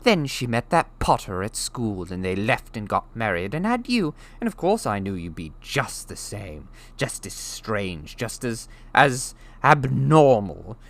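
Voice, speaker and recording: A man's voice: lowish voice, big pitch swings, a little slow, few pauses, voice pushed up. A man voicing a bitter, scornful middle-aged woman in a storybook reading, ranting with spiteful, heavily stressed words. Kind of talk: storybook character voice in an audiobook reading.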